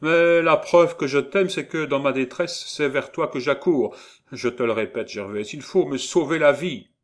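An impulsive young student acted out by a male reader, pitched above an ordinary low storytelling voice. He is theatrical and exclamatory, accusing himself with great melodrama as in a dramatised storybook. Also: lively intonation, normal speed, natural voice. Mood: pleading. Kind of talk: dramatised audiobook reading.

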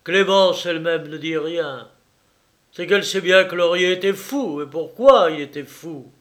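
A man's voice: a little high, a little slow, a bit bright, voice pushed up. A man voicing a feverish, sardonic young man who speaks vehemently at a dinner party in a storybook reading.

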